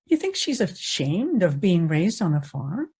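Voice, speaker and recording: Calm frontier woman, slow, mellow, husky, worldly, kindly, radio western. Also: lowish voice, heavily acted. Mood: patient, curious.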